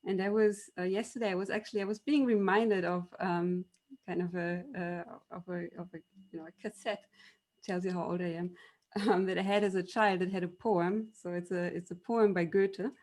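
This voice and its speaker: A woman's voice: fairly low pitch, fairly even intonation, normal speed, a little mellow. A woman from Germany with a German accent, a university machine learning lecturer giving debate panel remarks in an explanatory, storytelling way, with many ums and restarts.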